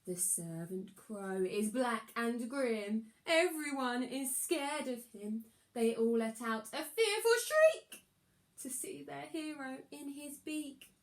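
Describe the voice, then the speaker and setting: A woman reading a children's rhyming storybook aloud as a teacher would, with expressive, sing-song, deliberate delivery. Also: high-pitched.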